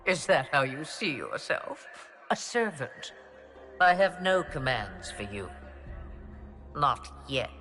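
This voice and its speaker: A woman's voice: a bass voice, very animated, slow, fairly bright tone, exaggerated voice. An actress playing an ancient, imperious witch-mother in a video game, speaking in cryptic, theatrical, archaic phrases with a menacing edge. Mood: coolly commanding. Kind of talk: dramatic video game voice acting. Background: background music.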